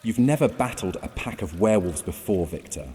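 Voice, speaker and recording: A man's voice: fairly low pitch, animated, fairly quick, mellow, close to an everyday voice. A man playing a stern, dutiful vampire consul in a fantasy audio drama, speaking with grave, dry warning. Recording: long reverb, music bed, water sounds.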